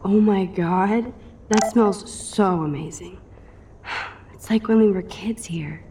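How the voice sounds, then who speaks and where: A young woman with an American accent playing an introspective, self-doubting teenage girl in a video game, soft-spoken, understated and casual. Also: mid-range voice, slow, slight background noise. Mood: musing. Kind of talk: video game acting, quiet inner monologue and dialogue. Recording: music under the voice. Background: background music.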